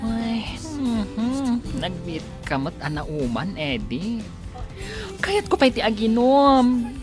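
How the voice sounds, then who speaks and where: A coy, pushy, flirtatious woman out drinking. She speaks slowly, with big animated swoops on her drawn-out interjections. It is comedy radio drama, with music clearly behind her. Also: on the high side. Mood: coy, tipsy.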